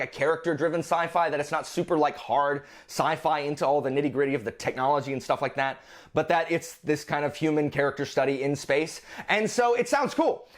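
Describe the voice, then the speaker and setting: A man with a fairly high, very lively voice talks as a vlog host. His delivery is chatty and informal, swinging widely in pitch. He drops in playfully exasperated asides as he goes. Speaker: book vlogger, channel host. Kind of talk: casual chatty monologue about a reading list.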